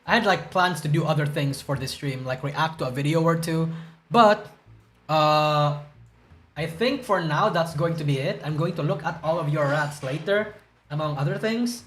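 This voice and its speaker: Young male streamer, high-pitched, rambling and profane, groggy and stuttery, faint music behind. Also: moderate pace, fairly bright tone. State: groggy from a hangover. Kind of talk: casual livestream chat reacting to news.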